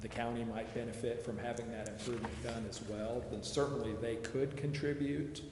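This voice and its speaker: A man explaining details at a public meeting in a measured, qualifying way. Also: mid-range voice, little pitch movement. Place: large echoey meeting room, council chambers. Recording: echoey hall, background hiss.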